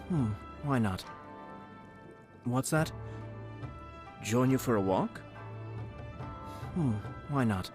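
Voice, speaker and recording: A man voicing a composed young lord and clan leader in a video game, speaking in a polite, refined, quietly amused manner. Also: medium pitch, lightly acted. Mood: polite, intrigued. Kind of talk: video game character voice acting. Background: background music.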